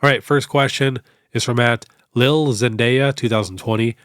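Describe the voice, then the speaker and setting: A young man with a stuffed-up nose from a cold, hosting a casual question-and-answer show in a relaxed, chatty way full of fillers. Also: medium pitch, normal speed, no room sound, clean studio sound. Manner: relaxed, chatty, many fillers like "you know". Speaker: host. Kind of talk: casual Q&A on card collecting.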